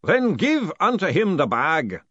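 A man voicing a pompous unseen lodge master in an audiobook, booming and intoning ritual lines slowly and solemnly. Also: sing-song, pushed and bright, no echo, highs cut off, exaggerated voice. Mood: stern, demanding.

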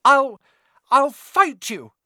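A man's voice: very high-pitched, expressive, moderate pace, a bit bright, no echo, studio quality, voice pushed up. A man voicing a shaky, stubborn schoolboy. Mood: defiant. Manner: strained, shaky, insistent. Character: nervous, stubborn schoolboy working up courage.

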